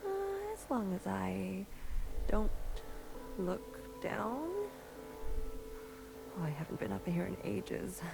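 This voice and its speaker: A woman's voice: medium pitch, animated, slow, creaky, long pauses, heavily acted. An actress playing a weary, grumbling female healer in a video game, dry and blunt. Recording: music behind the voice.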